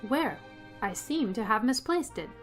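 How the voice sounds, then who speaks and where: A woman's voice: fairly high pitch, lively intonation, unhurried, soft background music. A woman playing a wry schoolteacher in a radio sitcom, with a dry, sarcastic manner. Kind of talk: old-time radio sitcom acting.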